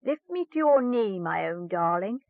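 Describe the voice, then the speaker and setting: A woman voicing an enchanted frog in a fairy tale, croaking coaxing, singsong pleas full of endearments. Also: medium pitch, big pitch swings, measured pace, no room sound, phone-quality sound. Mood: pleading, insistent. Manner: singsong, rhyming entreaties with endearments. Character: goggle-eyed enchanted frog, coaxing and insistent. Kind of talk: fairy tale storybook reading.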